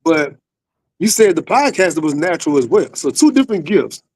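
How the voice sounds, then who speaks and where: A man's voice: on the high side, big pitch swings, normal speed, a little mellow, husky, dry recording. A man hosting a podcast in a casual, slangy interview style with familiar, hype-man phrasing. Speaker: podcast host.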